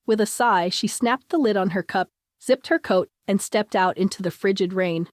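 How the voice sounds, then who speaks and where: A woman narrates fiction from a script. Her voice sits at a medium pitch, and the recording is dry with no room sound. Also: no echo.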